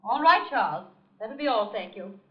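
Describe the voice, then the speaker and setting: A woman's voice: on the high side, lively intonation, moderate pace, husky. An actress playing an icy, imperious, mocking woman in a 1950 radio drama, with a cold, clipped, commanding delivery. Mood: coolly mocking. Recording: dull old radio recording, faint music.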